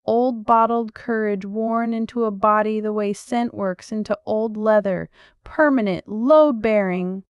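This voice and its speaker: A woman narrating a first-person story in a measured, reflective way. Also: mid-range voice, smooth, no echo, highs cut off.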